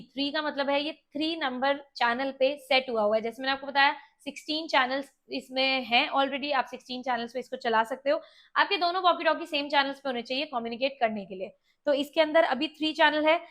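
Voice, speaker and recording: A woman's voice: sits high, brisk, fairly bright tone. A woman with an Indian accent presenting a product demonstration in a conversational, explanatory way, mixing in English words. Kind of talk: walkie-talkie review with demonstration.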